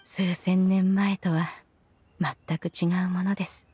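A woman's voice: on the low side, little pitch movement, slow pace, dark tone, breathy edge, faint music behind, heavily acted. A woman voicing an ancient, aloof female immortal in an anime game, with a formal, stately, archaic way of speaking. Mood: reflective. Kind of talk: Japanese anime game voice acting.

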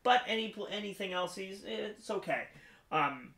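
Higher pitched guy chatting about games, echoey room sound. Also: lively intonation, normal speed, vocal fry, sharp tone, slight background noise. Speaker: co-host of gaming talk show. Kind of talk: casual chat about video games. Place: small room lined with display cases, indoors. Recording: roomy echo.

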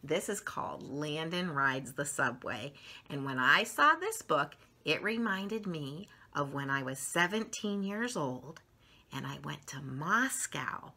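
Middle-aged woman, children's read-aloud, expressive emphasis, unhurried pace, bright tone. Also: low-pitched, husky. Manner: expressive, drawn-out emphasis for young listeners. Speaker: children's story channel host reading picture books aloud. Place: small furnished room at home, indoors.

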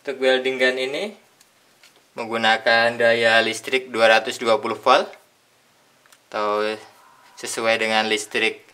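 A young man with a bright, forceful voice walks through a tool's features in a hands-on unboxing demonstration. He speaks in plain steps, pausing between phrases as he handles each part on a tabletop. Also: medium pitch, level tone. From Indonesia. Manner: plain step-by-step explaining with pauses. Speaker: product reviewer. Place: indoors at a tabletop.

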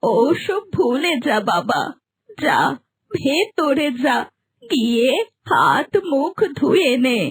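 Slow, plaintive old mother-in-law. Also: sits high, very animated, no room sound, full character voice. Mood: tender. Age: older. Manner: slow, drawn-out lamenting. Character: sorrowful, meek old village mother-in-law. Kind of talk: cartoon voice acting.

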